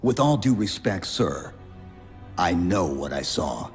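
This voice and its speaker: A stoic armored super-soldier with an extremely deep, clipped voice. The game audio carries a long reverb tail and a soft score underneath. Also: male voice, very animated, slow, faint music behind, exaggerated voice. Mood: earnest. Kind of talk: video game cutscene acting.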